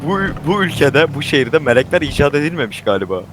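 Slightly high guy joking around in a roleplay game, slangy, faint car noise. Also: animated, fast. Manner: joking, slangy, repeats catchphrases. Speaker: gamer playing a police officer in roleplay. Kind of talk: casual improvised gaming banter. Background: faint car and street ambience.

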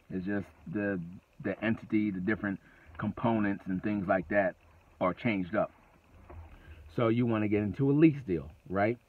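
A middle-aged man vlogs from the seat of his parked car, speaking in a somewhat low register. He talks casually but with emphasis, circling back and repeating himself to drive points home. The sound is thin and phone-like, close and dry with no room echo. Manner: conversational, emphatic, repeats phrases. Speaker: trucking vlogger. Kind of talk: casual advice vlog. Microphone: phone camera at arm's length.

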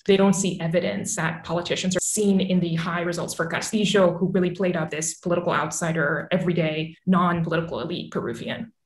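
A woman in her thirties explaining politics as an expert guest on a video call, articulate and measured. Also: lowish voice, steady tone. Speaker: newsletter author and analyst guest. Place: small home room with bookshelf, indoors. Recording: roomy, echoing video call audio.

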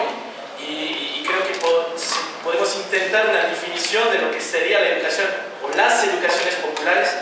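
A man in his forties, born in France and speaking a second language, giving a thoughtful, hesitant panel talk with many restarts. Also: high voice. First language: a regional language of France. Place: large classroom with panel audience. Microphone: handheld mic, far camera. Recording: echoey room, far mic, background hum.

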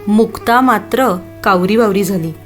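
A woman narrating a children's moral story in an expressive storytelling style. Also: mid-range voice, moderate pace, soft background music.

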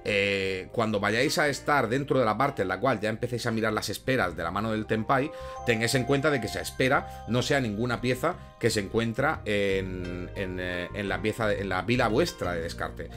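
A man's voice: on the low side, moderate pace. A young man with a Castilian Spanish accent explaining a game in an informal, didactic tutorial style, often rephrasing with examples. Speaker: gaming tutorial host. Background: background music with guitar.

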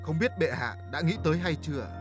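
An actor playing a deferential rival lord, formal and humble, in an animated historical drama. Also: male voice, on the high side, quick pace, over music, natural voice. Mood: humble, petitioning.

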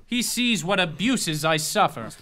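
An actor playing a self-assured, taunting young god in disguise in a dramatic stage reading of Greek tragedy. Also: male voice, sits high, animated, a little slow, pushed and bright, full character voice. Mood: calm defiance. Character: serene, defiant young male stranger, a god in disguise. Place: stage in a theatre. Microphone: tabletop microphone.

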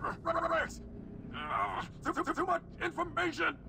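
An actor playing a frantic malfunctioning cyborg soldier who stutters and repeats syllables as he yells. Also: extremely high pitch, very animated, unhurried, creaky, background noise, heavily acted. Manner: stuttering, glitchy repetition of syllables.

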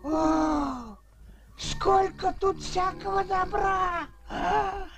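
Very squeaky tiny cartoon gnome. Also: male voice, fairly even intonation, very slow, gravelly, noisy background, heavily acted. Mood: amazed. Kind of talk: Soviet-era cartoon voice acting. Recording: old film soundtrack with music.

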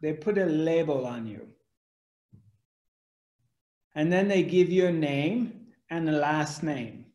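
Guy in his 40s doing a spiritual talk on webcam, slow and probing, bit high voice, roomy echo. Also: darkish tone. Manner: questioning, gestures broadly with both hands. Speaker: spiritual teacher. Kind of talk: spiritual discourse to camera. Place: home room indoors. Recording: echoey room.

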